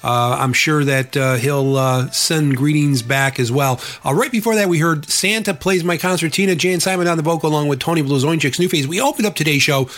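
A man's voice: a little high, brisk, few pauses. A man hosting a polka radio show, sounding congested and nasal from an illness, with an easygoing delivery full of "uh"s. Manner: relaxed, filler-heavy, hesitant delivery. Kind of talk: radio DJ patter.

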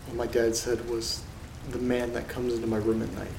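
A man acting in a horror audio drama as an uneasy letter reader, telling things in a subdued, measured way with dramatic pauses. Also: mid-range voice, monotone, creaky, lightly acted. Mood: somber, ominous. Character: uneasy man reading creepy letters aloud alone. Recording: roomy sound with rain and noise. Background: rain and thunder ambience.

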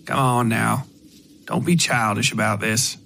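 A man's voice: fairly low pitch, fairly even intonation, a little slow, creaky, bright, forceful tone, full character voice. A man voicing a plain-spoken, stubborn old country widow in a storybook tale, folksy and no-nonsense. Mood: scolding.

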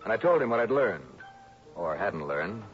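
Low-voiced hard-boiled investigator, dry and wry; muffled vintage radio sound. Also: male voice, very animated, normal speed, faint music behind. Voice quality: dry, wry, clipped delivery. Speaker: narrator and lead. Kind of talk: 1960s radio drama acting. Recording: dull lo-fi 1960s radio broadcast.

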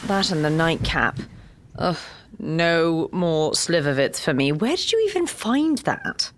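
Wry exasperated crime writer lady, audio drama, slow talker, lively pitch. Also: slight background noise. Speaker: actor playing a writer.

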